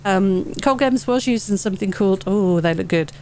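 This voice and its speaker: A woman hosting a gaming channel, hoarse and wheezy from a head cold, chatting casually through update notes with lots of ums. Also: medium pitch, fairly quick, darkish tone, slight background noise. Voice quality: wheezy, sore-throated. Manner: chatty, rambling, with many ums. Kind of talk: casual gameplay commentary.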